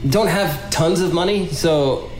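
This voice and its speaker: A man co-hosting a podcast, cracking crude jokes in a loose, halting way. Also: on the high side. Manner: crude joking, loose and hesitant. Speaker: podcast co-host. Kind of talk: casual comedic chat. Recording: roomy echo with music.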